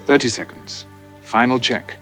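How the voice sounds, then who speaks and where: A man's voice: mid-range voice, fairly even intonation, unhurried, fairly bright tone, close to an everyday voice. A man playing an authoritative research director in an audio drama, giving terse, solemn commands. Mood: commanding. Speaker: project director. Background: music.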